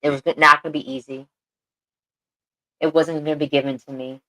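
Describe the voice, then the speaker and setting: A woman's voice: low voice, flat delivery, moderate pace, bright, forceful tone, rough recording. A woman co-hosting a podcast, talking earnestly and repeating phrases for emphasis. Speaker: podcast co-host. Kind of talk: casual podcast chat.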